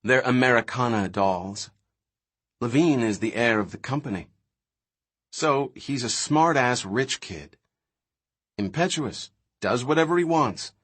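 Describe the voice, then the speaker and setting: Man reading as woman scientist, audiobook, candid, wry, casual, amused, husky. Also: mid-range voice, lively intonation, unhurried, long pauses, fairly bright tone. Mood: wry amusement.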